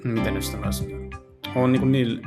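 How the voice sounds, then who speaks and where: A man's voice: medium pitch, steady tone. A man hosting a gaming video, casually translating a visual novel aloud and searching for his words as he goes. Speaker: gaming channel host. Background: music.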